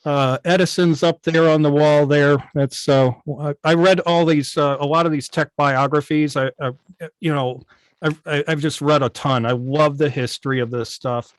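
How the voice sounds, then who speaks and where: Male interview guest, slightly high voice, enthusiastic hobbyist storytelling, dry room-free video-call sound. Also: steady tone, normal speed, no room sound. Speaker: guest, old-time radio station operator. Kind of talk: casual video call chat. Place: indoors at home on a video call.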